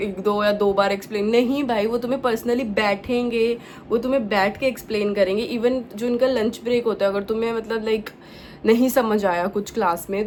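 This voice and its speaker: Chatty young Punjabi-native woman from India, casual vlog talk, slight background hiss. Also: fairly high pitch. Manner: chatty, mixes English words into Hindi, many fillers. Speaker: vlogger. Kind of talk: casual chat.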